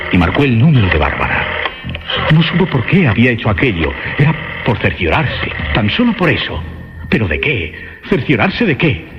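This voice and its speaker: A man narrating a horror radio drama in halting, emphatic, suspenseful phrases. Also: lowish voice. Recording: dull lo-fi radio sound, loud music. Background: loud music.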